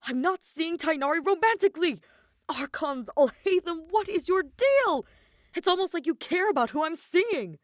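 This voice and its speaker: A woman acts out an indignant young man from an audio story, dramatic and easily exasperated. The voice is loud and incredulous, leaping about in pitch and close to spluttering. The sound is dry and close. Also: animated, moderate pace, no room sound. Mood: exasperated outburst.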